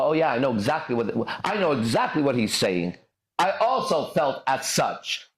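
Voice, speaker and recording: A man from Iran hosting a livestream podcast, telling stories in an emphatic, profane way with drawn-out pauses. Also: a little high, roomy sound, poor audio. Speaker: podcast host. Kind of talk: livestream motivational storytelling.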